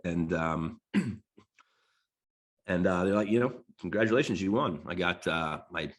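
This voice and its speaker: An American man in his forties, nasal from a cold and clearing his throat, gives a loose, rambling talk to a design class. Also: low voice. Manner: loose and rambling, full of restarts and fillers. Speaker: guest designer giving a talk.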